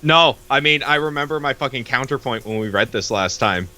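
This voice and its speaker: Guy co-host, bright forceful voice, casual chat, teasing and disagreeing. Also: medium pitch, fairly quick. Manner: teasing, contradicting. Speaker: co-host bantering over a webcomic. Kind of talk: casual banter.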